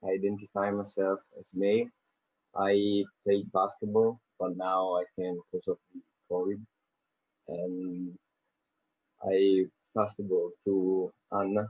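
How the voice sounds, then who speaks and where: A man's voice: sits low, little pitch movement, a little slow, slightly rough, dry recording, phone-quality sound. A teenage boy, introducing himself briefly and matter-of-factly on a call. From Italy. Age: teens. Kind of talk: casual video call introduction. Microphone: video call.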